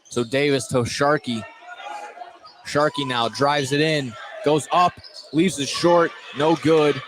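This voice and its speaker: A man calling live high school basketball play-by-play as a radio sports announcer. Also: fairly even intonation, takes it slowly, crowd noise. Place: indoor basketball gym, courtside. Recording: noisy live gym ambience. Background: loud game ambience.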